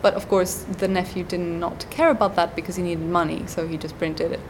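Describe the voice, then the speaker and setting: A woman guest on an academic interview, explaining at length and pausing often with ums and uhs. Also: fairly low pitch, fairly even intonation, background noise. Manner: hesitant, many ums and uhs. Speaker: guest scholar.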